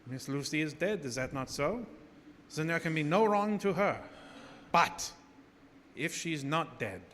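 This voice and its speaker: An actor playing a grave, commanding professor who hunts vampires, delivering probing, theatrical speeches in gothic radio drama. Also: male voice, fairly high pitch, animated, a little slow, a bit bright. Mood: solemn, determined. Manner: theatrical, probing rhetorical questions. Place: live theater stage. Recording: reverberant theater hall with noise.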